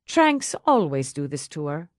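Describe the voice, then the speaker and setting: A suave man voiced by a woman reading an audiobook talks mildly and without hurry. He is wry, dry and devoted, keeping an even temper as a husband who is quietly amused. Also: low voice, no echo, voice pushed down. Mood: calm, dry. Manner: mild, dry, unhurried.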